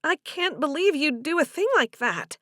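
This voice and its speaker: A woman narrator acts out a young female character in an audiobook romance. The voice sits high and swings widely, shy at one moment and indignant at the next. It is expressive and full of questions, recorded close and dry. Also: moderate pace, no room sound, heavily acted.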